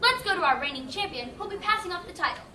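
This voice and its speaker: A woman's voice: very high-pitched, expressive, quick pace, a crowd in the background. A woman playing a peppy fairy-tale awards hostess, presenting like an announcer in a stage comedy. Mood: presentational. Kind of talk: youth stage comedy acting. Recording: echoey hall from far off, audience noise.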